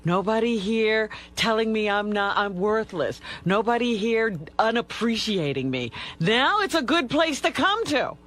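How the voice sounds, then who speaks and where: A woman's voice: a little high, animated, a little slow, hardly any pauses, fairly bright tone. A woman with an American accent co-hosting talk radio, frank and pointed in casual unscripted banter. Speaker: radio show co-host.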